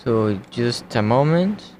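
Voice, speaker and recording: Slow-talking man with Colombian accent. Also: medium pitch, expressive, a little mellow, slight background noise. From United States. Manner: slow, unhurried, halting delivery. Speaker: college radio DJ. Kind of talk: casual radio show hosting.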